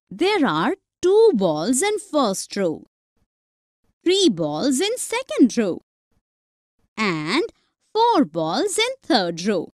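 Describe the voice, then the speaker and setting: A woman narrating a slow, scripted math lesson for young children, counting objects aloud step by step. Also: on the high side, dry recording, clean studio sound. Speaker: teacher.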